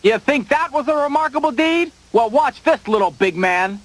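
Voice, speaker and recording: Little tailor: very high, cocky, full of himself, with background music. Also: male voice, animated, normal speed. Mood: boastful. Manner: boastful, bragging. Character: cocky little male tailor, boastful braggart.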